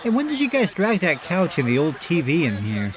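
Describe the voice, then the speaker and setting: A man's voice: a little high, huge pitch swings, fairly quick, crowd noise. A man hosting a show with casual banter. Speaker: show host.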